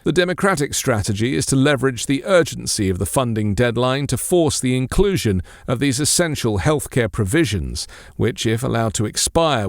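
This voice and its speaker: Male news presenter, mid pitch, formal measured read of scripted copy, very dry recording. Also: no room sound.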